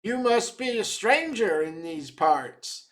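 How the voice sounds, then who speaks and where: A grizzled old small-town man acted by a storyteller, a man. He is fearful and emphatic, stretching his words slowly like an ominous warning in a dramatic tale. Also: high-pitched, very animated, a bit bright, full character voice. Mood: suspicious.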